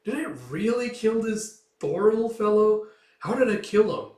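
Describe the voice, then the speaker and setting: Male performer as cunning animated Viking leader, mocking politeness, distant echoey sound. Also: on the high side, moderate pace. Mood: mock puzzled. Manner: wry, taunting, falsely polite. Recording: echoey room, distant from mic.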